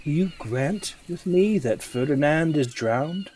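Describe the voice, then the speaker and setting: A man's voice: a little high, animated, darkish tone, slight background noise. A man playing a scheming, ambitious usurping nobleman in a Shakespearean audio drama, with an insinuating, rhetorical delivery. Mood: insinuating, persuasive. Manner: insinuating, rhetorical, deliberate emphasis. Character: scheming, ambitious usurping nobleman tempting his companion to murder. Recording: remote recording with music underneath.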